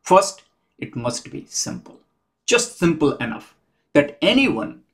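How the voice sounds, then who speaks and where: Measured man explaining to camera. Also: on the high side, very animated. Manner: measured, methodical, counts points off one by one. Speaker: host. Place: small room at home.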